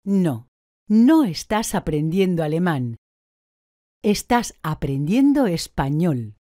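A woman answers drill questions very slowly, with a mellow, lowish voice and lively rises and falls in pitch. Every word is carefully articulated. The recording is close and dry. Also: dry recording. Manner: slow, deliberate, clearly articulated answers. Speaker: language course speaker.

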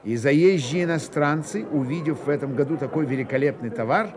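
A man narrating a novel for radio in a measured, expressive storytelling manner. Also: a little high, crowd noise. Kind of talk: dramatised literary narration for radio.

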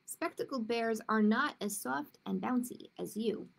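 Spectacled bear voiced by a woman, calm, matter-of-fact, slow delivery, slight fry. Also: a little high.

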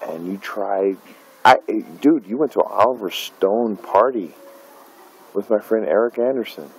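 A man's voice: fairly low pitch, lively intonation, measured pace, breathy edge, poor audio. A middle-aged man, an independent filmmaker, filming himself in a dim room at night and ranting bitterly, with profanity and lots of fillers. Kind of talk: angry personal vlog rant. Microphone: phone camera held close.

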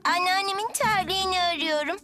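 Cheery little cartoon girl, super high voice, takes her time, music behind. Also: a little fast, bright, forceful tone. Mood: earnest, pleading. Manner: eager, coaxing, childlike. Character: cheerful young girl devoted to her dog. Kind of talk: animated children's cartoon dub.

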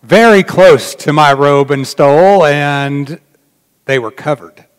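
A middle-aged man, a pastor addressing his congregation informally in a folksy, dry manner with long pauses, in a large church. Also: on the high side, clean tone. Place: large church sanctuary, indoors. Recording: reverberant church hall.

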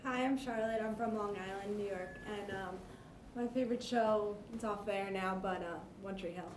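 A woman's voice: medium pitch, moderate pace. A young woman, a student, casually introducing herself in a classroom. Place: tiered classroom. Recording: classroom with audience noise.

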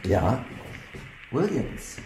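Casting: polite, concerned doctor, lively mid-pitched voice, roomy, noisy radio play sound. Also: male voice, extremely slow, recorded from a distance, heavily acted. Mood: courteous, concerned. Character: courteous, concerned country doctor. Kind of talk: German radio play acting. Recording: roomy sound with clear background noise.

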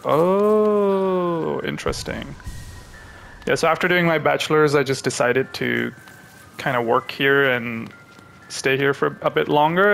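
A young man from Pakistan, hosting a gaming channel, who chats to viewers and thinks aloud as he plays, with excitable reactions. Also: mid-range voice, gravelly, slight background noise. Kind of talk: live gameplay commentary.

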